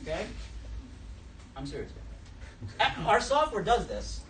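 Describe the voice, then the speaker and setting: A man's voice: high-pitched, huge pitch swings, unhurried. A middle-aged man with a New York accent giving a blunt, joking talk to a conference audience, recorded in a room with a projector. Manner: blunt, joking, self-deprecating, gestures broadly. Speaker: speaker at a conference session. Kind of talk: casual live lecture. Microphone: camera at the back of the room, no mic. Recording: roomy, distant, noisy room recording.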